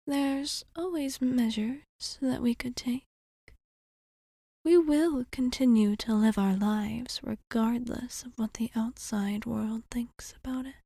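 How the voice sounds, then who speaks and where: A woman playing an elegant, calm, centuries-old female vampire bartender in an ASMR roleplay, speaking in a soft, breathy half-whisper with measured, formal phrasing. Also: on the high side, no echo, clean studio sound, exaggerated voice.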